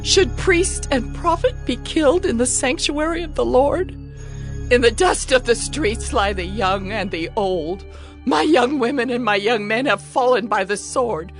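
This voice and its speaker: A woman's voice: fairly high pitch, expressive, a little slow, faint music behind. A woman performing a dramatized scripture lament, anguished and pleading. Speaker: narrator of a lament.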